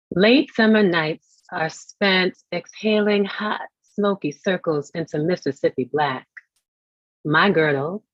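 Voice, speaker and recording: A woman reading poetry with measured, lingering phrasing. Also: sits low, dry recording. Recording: dry but dull, lo-fi audio.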